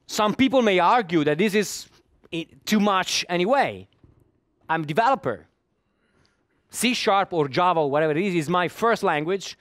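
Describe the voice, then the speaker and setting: A middle-aged man speaks English at a developer conference in a fairly high voice. He often stammers over repeated words as he searches for the next one. The sound is dry and close. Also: animated, normal speed, a bit bright, dry recording. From Italy. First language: Italian. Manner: repeats words while searching for them, with small laughs. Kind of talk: technical conference talk.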